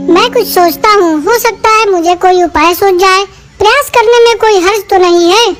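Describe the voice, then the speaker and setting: A performer voicing a small, earnest male rabbit who is eager to help in an animated moral story. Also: very high voice, normal speed. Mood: earnest, thoughtful. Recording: music behind the voice.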